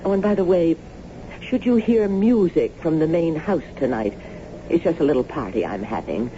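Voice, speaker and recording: A woman's voice: low voice, animated, normal speed, dark tone. An actress playing a genteel, eccentric lady landowner in a radio drama, speaking with effusive, old-fashioned formality. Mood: gracious, effusive. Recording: dull, noisy old radio broadcast.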